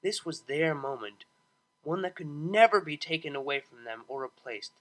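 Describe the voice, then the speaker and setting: A man narrating a piece of fan fiction in an expressive storytelling style. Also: fairly high pitch, moderate pace, poor audio. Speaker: audiobook narrator.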